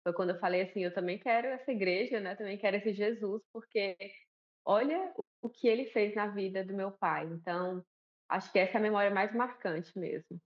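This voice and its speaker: A woman's voice: medium pitch, fairly quick. Chatty Northeastern Brazilian woman, lo-fi sound. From Northeast Brazil, Alagoas. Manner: warm, chatty, many tag questions. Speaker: guest, missionary and pastor's wife. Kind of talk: conversational TV interview.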